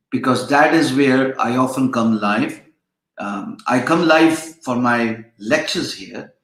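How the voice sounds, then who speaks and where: Chatty man lecturing in an echoey room. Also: mid-range voice. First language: Urdu. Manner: rambling, chatty, many fillers and restarts. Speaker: online freelancing instructor. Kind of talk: casual live-stream lecture.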